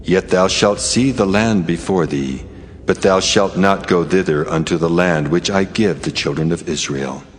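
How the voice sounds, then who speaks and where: An actor playing the Lord God as a stern, grave, commanding divine voice in a dramatized scripture reading. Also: male voice, low-pitched, moderate pace, lightly acted. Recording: long cavernous reverb with faint noise.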